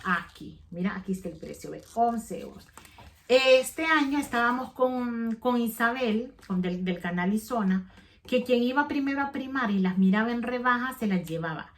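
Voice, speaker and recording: A woman's voice: on the high side, expressive, moderate pace. A middle-aged woman, chatting casually to the camera for her vlog, rambling and often restarting her sentences as she talks straight to her viewers. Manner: rambling, chatty, self-correcting; addresses viewers directly. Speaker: vlogger.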